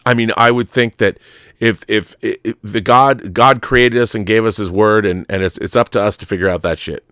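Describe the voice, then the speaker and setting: Low-voiced, animated American man talking off the cuff. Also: moderate pace, clean tone, no echo, studio quality. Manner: animated, digressive, emphatic, swears casually. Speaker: talk show host. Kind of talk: casual, rambling opinionated live chat answering viewer messages.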